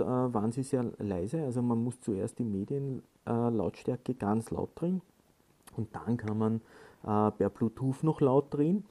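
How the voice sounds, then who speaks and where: A man giving casual hands-on instructions in a halting way, with frequent filled pauses. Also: mid-range voice, mellow. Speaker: product tutorial presenter.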